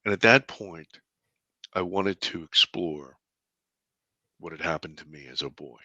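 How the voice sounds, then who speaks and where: A deep-voiced man, a filmmaker guest in an interview, telling a personal story earnestly, with halting restarts and bursts of excitement. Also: dry recording, lo-fi sound. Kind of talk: conversational storytelling.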